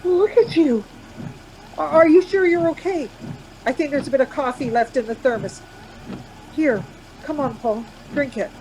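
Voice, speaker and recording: A woman's voice: normal speed, long pauses, lightly acted. An actress playing an anxious, coaxing woman traveller in a radio play, urgent and reassuring by turns. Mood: frightened, pleading. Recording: background noise with vehicle rumble.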